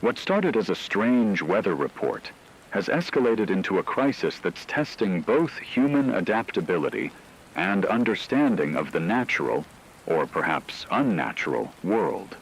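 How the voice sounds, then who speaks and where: Deep-voiced man acting as a grave radio news anchor. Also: very animated, a little hiss or hum, exaggerated voice, rough recording. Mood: grave, ominous. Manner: serious, measured, formal. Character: grave male radio news anchor reporting a supernatural fog crisis. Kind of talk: scripted emergency radio news broadcast.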